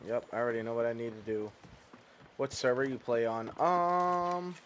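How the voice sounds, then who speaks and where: A man's voice: medium pitch, moderate pace, a bit bright, a little hiss or hum. A man streaming a game, chatting casually to viewers in a laid-back, rambling way with casual swearing. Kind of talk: casual gameplay commentary.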